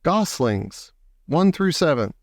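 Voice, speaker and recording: A man voicing a female spider in a storybook, brisk, orderly and slightly impatient as she runs things. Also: on the high side, big pitch swings, no echo, heavily acted. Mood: brisk, calling roll. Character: female spider, brisk bossy meeting leader.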